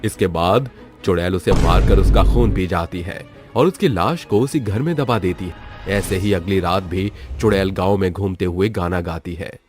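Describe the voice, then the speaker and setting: A man's voice: sits low, normal speed, music in the background. A man narrating a scripted story as a storyteller.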